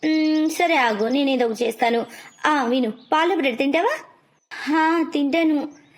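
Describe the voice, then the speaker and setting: A high, childlike little girl acted by a woman chatters away in a cartoon moral story. She is playful and teasing, and pleads like a small child who wants to keep playing. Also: measured pace. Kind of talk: animated moral story dubbing.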